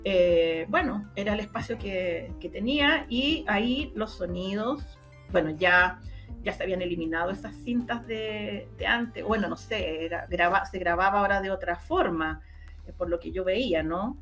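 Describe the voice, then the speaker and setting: A woman's voice: mid-range voice, lively intonation, moderate pace, a bit bright, soft background music. A woman with a Chilean Spanish accent recounting her memories as an interview guest in casual talk, repeating words for emphasis. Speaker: interview guest, radio drama producer. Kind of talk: casual conversational recollection.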